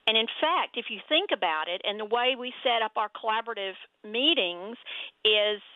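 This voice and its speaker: Texas lady lawyer, kinda high voice, explains things slow and steady, tinny phone sound. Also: dry recording. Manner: measured, explanatory. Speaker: family lawyer, guest. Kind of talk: interview answers.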